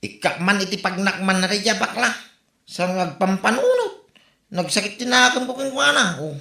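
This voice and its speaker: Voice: medium pitch, very animated, normal speed, gravelly, bright, forceful tone, heavily acted. An actor playing a boastful, quarrelsome drunken husband in an Ilocano comedy audio drama, blustering and exclaiming. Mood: grumbling. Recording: echoey room.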